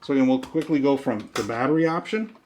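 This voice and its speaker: A man walks through a product like a casual reviewer, explaining each step in plain, folksy language. His voice has an ordinary middle pitch, and there is some faint noise behind him, with small handling clicks. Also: fast.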